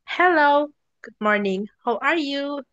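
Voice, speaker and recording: A young woman leads a beginner English lesson at a slow, careful pace, her voice set a little high. She lifts it for praise between drills. The recording is dry and somewhat dull, with little room sound. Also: expressive, a bit bright, no room sound. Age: young adult. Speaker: teacher in a role-play English class. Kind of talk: slow, clear classroom instruction. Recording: dull, dry and slightly muffled.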